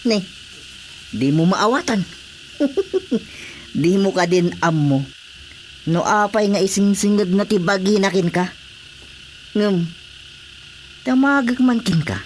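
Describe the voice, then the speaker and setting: Touchy village councilor, Ilocano accent, medium pitch, scolding delivery, radio comedy, some noise. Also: female voice, animated, slow, long pauses. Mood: needling.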